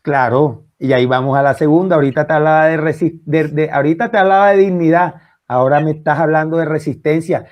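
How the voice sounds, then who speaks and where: A man's voice: on the high side, fairly even intonation, fast talker, hardly any pauses, dull audio. A man from Colombia with a Caribbean accent, answering in an interview as a writer, emphatic and repeating phrases for effect.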